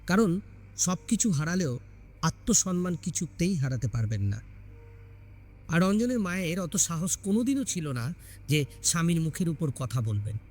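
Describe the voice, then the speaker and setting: A man's voice: on the high side, sing-song, moderate pace, darkish tone. A man reading a scripted horror story as a dramatic narrator.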